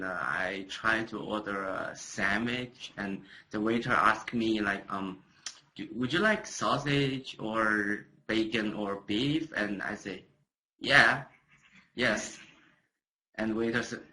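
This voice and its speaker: A man's voice: lowish voice, pushed and bright, rough recording. A young man, a non-native English speaker, talking casually about his studies with many hesitations and jokes. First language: not English. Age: young adult. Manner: hesitant, many fillers, joking. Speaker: student speaker. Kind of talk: casual webcast talk.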